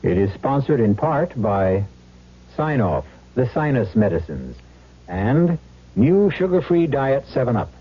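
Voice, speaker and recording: A man hosting a 1970s radio mystery with measured, dramatic scripted narration. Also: mid-range voice, very animated, breathy edge. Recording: dull 1970s broadcast with loud music.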